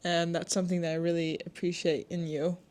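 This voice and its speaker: Young woman with a lowish voice, chatty. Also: flat delivery, fairly quick, a little mellow. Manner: upbeat, laughs easily. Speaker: podcast co-host. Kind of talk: casual chat.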